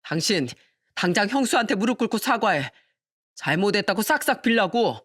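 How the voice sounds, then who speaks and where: A woman's voice: mid-range voice, very animated, normal speed, no room sound, clean studio sound. A woman voicing an outraged younger brother who sternly berates his wife in a family drama story. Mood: outraged, demanding. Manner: stern, demanding, indignant.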